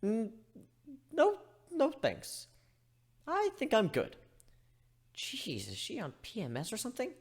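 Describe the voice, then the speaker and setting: Man voicing a cocky, lively griffin inventor. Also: high-pitched, slow, long pauses, husky, pitched above the performer's own voice, full character voice. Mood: sarcastic, awkward. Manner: flippant, sarcastic. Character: cocky, reckless male griffin inventor, sarcastic and merry. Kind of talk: dramatic reading of fan fiction.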